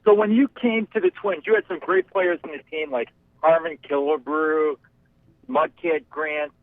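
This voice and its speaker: A male podcast host with a fairly high, gravelly voice asks questions in a lively, swooping way, curious and eager. His voice is dry and tinny, as if heard down a phone line. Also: normal speed, no echo. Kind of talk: interview questions.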